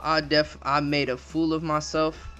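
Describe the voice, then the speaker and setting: A man's voice: a little high, little pitch movement, measured pace, pushed and bright, dull audio. Rambling young man streaming, faint music behind. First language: Spanish. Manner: rambling, restarts often, reassuring. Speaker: livestreamer. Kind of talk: casual live-stream chat with viewers.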